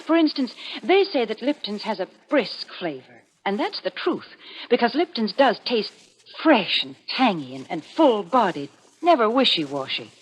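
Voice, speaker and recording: A prim, cheerful radio spokeswoman with a slightly high voice. She is chatty, emphatic and persuasive in an old-fashioned 1940s advertising style. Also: sing-song, moderate pace, breathy edge, rough recording. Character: prim, cheerful sponsor's woman who scolds the ghoulish host. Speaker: commercial announcer.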